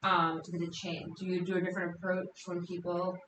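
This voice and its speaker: A woman's voice: lowish voice, monotone, a little fast, roomy sound, background noise. A woman hosting an interview, asking questions and chiming in often with short agreements. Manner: frequent short affirmations, laughs easily. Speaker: podcast host. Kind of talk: casual interview chat.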